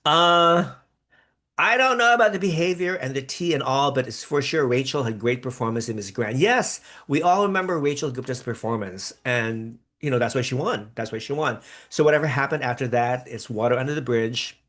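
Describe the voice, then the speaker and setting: A man's voice: medium pitch, expressive, normal speed, a bit bright. A man born in the Philippines who grew up in America, hosting a live reaction stream in a rambling, chatty way with upbeat bursts. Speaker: podcast host reacting live to a pageant. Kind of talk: live-stream reaction chat reading viewer comments.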